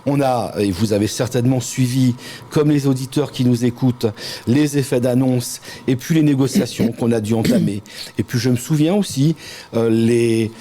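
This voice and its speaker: A middle-aged man, a local elected official, answering interview questions in a measured way with frequent hesitant pauses. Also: medium pitch, dark tone. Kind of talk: interview answers, political talk.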